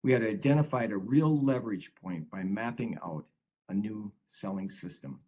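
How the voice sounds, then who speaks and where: Husky-voiced man presenting a webinar. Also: lowish voice, moderate pace, mellow. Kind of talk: prepared story reading and discussion.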